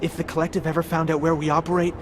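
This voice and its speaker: A male actor plays a paranoid covert agency man in a video game. His voice sits fairly high for a man, and he talks in a nervous, wry, fretful way. Music plays clearly underneath. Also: level tone, racing, lightly acted. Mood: anxious. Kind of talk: video game cutscene acting.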